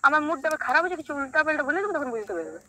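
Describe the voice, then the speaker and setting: A woman's voice: high voice, expressive, racing, bright, forceful tone. A young woman with a rural western Bengali accent from Purulia, arguing on a casual phone call with blunt, dismissive retorts. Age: young adult. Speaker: prank call recipient.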